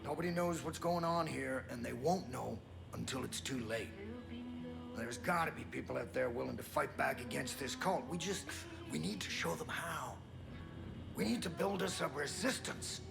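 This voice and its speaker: An actor playing a gruff, weary older American survivalist in a video game, talking bluntly with swearing and a folksy turn of phrase. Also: male voice, medium pitch, normal speed. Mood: urgent, determined. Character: gruff, weary older male survivalist, cynical but defiant. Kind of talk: video game cutscene acting. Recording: dramatic music behind the voice.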